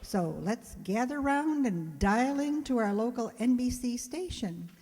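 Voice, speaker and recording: A woman's voice: on the high side, lively intonation, unhurried, mellow. An older woman giving a prepared introduction as the presenter of a radio players' show. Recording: long hall reverb.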